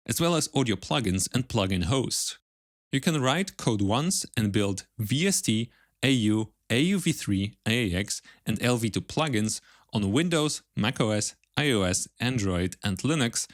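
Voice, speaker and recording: A man's voice: mid-range voice, no echo, clean studio sound. A man hosting an interview podcast, speaking as presenter and interviewer. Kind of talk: podcast interview, with scripted sponsor read.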